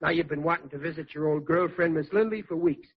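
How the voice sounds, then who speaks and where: An actor playing a blustery, good-natured working-class father in a 1940s radio sitcom, with a husky voice and folksy, emphatic, ungrammatical talk. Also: fairly high pitch, quick pace. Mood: insistent. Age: middle-aged. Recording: muffled vintage radio recording.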